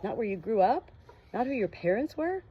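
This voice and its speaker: A woman's voice: on the high side, big pitch swings, moderate pace, husky, pitched above the performer's own voice. Woman voicing an earnest, curious teenage boy. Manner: questioning, informal. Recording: dull, muffled lo-fi audio.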